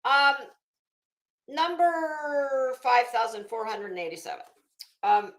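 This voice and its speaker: A woman's voice: sits high, animated, takes it slowly, a bit bright, roomy sound. A middle-aged woman hosting a casual livestream, rambling through stories in a sassy, wry, profane way. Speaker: livestream host.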